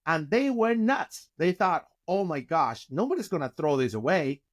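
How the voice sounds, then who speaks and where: A man's voice: fairly high pitch, normal speed. A middle-aged man with a Chilean Spanish accent, telling stories as a guest on a video call from his office. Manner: animated, gesturing with hands, frequent restarts. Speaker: guest, company founder. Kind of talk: casual interview storytelling. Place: office with bookshelves, indoors.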